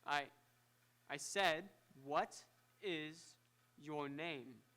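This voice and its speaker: A man acting as a proud scientist of vintage radio theatre, easily excited by his own inventions. His voice sits a little high and rings bright and forceful. He explains things in fussy, precise technical terms. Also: very slow, exaggerated voice. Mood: self-important, explaining. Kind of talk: old-time radio drama acting. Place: live on an auditorium stage.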